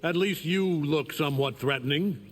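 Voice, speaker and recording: An actor playing an arrogant, menacing alien conqueror in a fighting game, commanding and contemptuous. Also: male voice, fairly high pitch, moderate pace, big-room sound, natural voice. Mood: mocking. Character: arrogant, menacing alien superhuman conqueror, male, middle-aged. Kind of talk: fighting game character banter.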